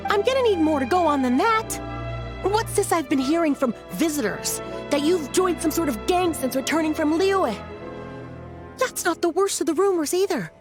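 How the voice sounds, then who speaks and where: Casting: earnest, dutiful young shrine maiden in a game, very high voice, animated delivery, music behind. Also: female voice, moderate pace. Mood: probing, worried. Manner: earnest, questioning, expressive. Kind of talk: English video game voice acting.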